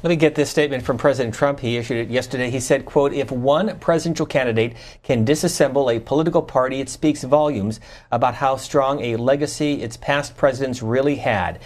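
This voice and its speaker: Measured male TV host. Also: medium pitch, darkish tone. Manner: measured, reads statements aloud. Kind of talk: TV interview and call-in hosting.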